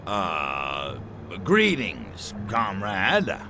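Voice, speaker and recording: An actor playing a cocky, sarcastic little gray alien in a video game, with an American accent and a gravelly voice. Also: male voice, on the low side, huge pitch swings, extremely slow, background noise, full character voice. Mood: awkward bluffing. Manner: sarcastic, brash, drawling wiseguy. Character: small gray alien invader, cocky wisecracking male. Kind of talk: video game cutscene acting.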